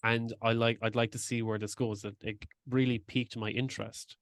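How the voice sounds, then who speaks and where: A male podcast host with a smooth, resonant voice talks in an earnest, reflective way. His pitch sits in the middle for a man. The sound is dry and close. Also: little pitch movement, brisk, no echo. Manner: earnest, reflective, shares own stories. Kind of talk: casual interview chat.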